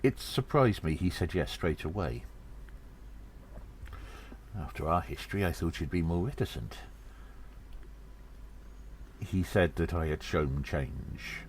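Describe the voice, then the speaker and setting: Very deep, theatrical gnome storyteller played by a man. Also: lively intonation, a little hiss or hum. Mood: earnest, conspiratorial. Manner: theatrical, halting storyteller delivery. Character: gnome adventurer narrating his exploits, earnest and theatrical. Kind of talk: audio drama narration.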